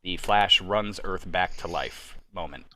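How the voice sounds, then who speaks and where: A man's voice: low voice, a little slow, bright, forceful tone, slight background noise. A man chatting casually on a livestream as host, enthusiastic and opinionated, with some casual swearing. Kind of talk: casual chat about superhero movies. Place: remote group livestream.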